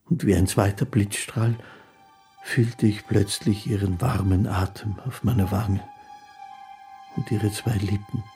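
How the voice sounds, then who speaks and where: An actor playing a meek, dreamy poor musician, telling his life haltingly and earnestly in a radio play. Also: on the low side, steady tone, dark tone, soft background music. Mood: tender, wistful. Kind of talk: first-person radio play narration.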